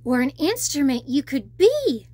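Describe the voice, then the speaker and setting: A plucky young mermaid princess from a children's storybook, voiced by a woman, high-pitched and kind. She speaks in an optimistic, coaxing way. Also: sing-song, moderate pace, dark tone. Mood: curious.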